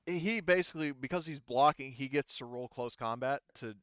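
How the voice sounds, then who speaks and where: A man with a smooth, clear voice acts as game master for a tabletop game. He narrates in a casual, hesitant way, working things out aloud. The recording is very dry and somewhat dull. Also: mid-range voice, normal speed, a bit bright, no room sound.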